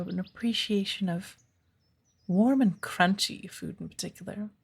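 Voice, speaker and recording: Casting: a woman as patient forest witch tutor for ASMR roleplay; soft, breathy, unhurried, playful and gently instructive. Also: mid-range voice. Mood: curious, encouraging. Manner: slow, measured, gently instructive. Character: patient, playful witch of the woods mentoring her apprentice.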